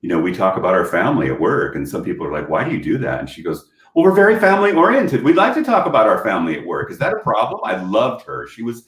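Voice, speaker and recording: Chatty low-voiced man in an echoey room. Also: wildly swooping pitch, fast talker, hardly any pauses, fairly bright tone. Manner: chatty, rambling with many fillers and restarts. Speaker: panelist. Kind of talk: casual panel chat. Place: home, on a video call.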